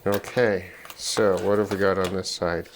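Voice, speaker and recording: A middle-aged man with a lowish voice talks slowly through a hands-on electronics teardown. He muses and halts as he thinks aloud, with faint noise and clicks behind him. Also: little pitch movement. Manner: halting, musing, thinking aloud. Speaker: electronics hobbyist presenter. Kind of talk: unscripted teardown commentary.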